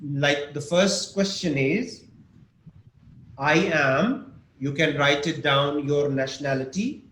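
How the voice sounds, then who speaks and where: A man leads an online English class at an unhurried pace, patiently walking through examples and asking his students questions. His voice sits a little high, and the recording carries an echo with faint background noise. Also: fairly bright tone. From Pakistan. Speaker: English teacher. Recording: echoey room with faint noise.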